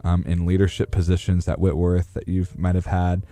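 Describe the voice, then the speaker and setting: Young male interviewer, very low relaxed voice, informal, halting phrasing. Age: young adult. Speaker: podcast host. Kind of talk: casual unscripted interviewing.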